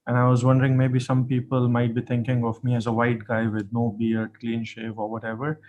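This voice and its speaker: A man from Pakistan, tired late at night, giving a friendly, relaxed webinar talk over a video call. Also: medium pitch, a little fast, narrow, tinny audio. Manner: friendly, relaxed, self-deprecating. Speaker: guest speaker, assistant professor. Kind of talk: webinar presentation. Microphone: computer mic on a video call.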